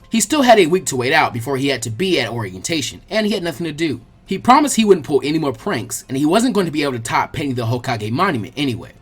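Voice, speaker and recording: A man reading a story aloud as an expressive, colloquial audiobook narrator, with a husky voice. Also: a little high. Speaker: fan fiction audiobook narrator.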